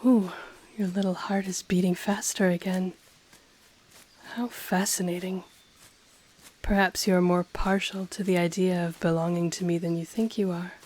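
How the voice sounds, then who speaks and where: A woman voicing an ancient dragon familiar, possessive, teasing and tender, speaking softly and formally in an intimate ASMR roleplay. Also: fairly low pitch, little pitch movement, lightly acted, rough recording. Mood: teasing, seductive. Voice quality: soft, breathy, often whispering. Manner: slow, formal, teasing, purring delivery.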